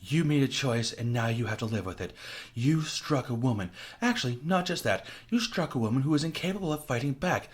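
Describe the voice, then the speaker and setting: A man's voice: mid-range voice, animated, a little fast, pitched above the performer's own voice, close to an everyday voice. A man voicing a defiant young woman held captive, who speaks in a cutting, scornful and blunt way.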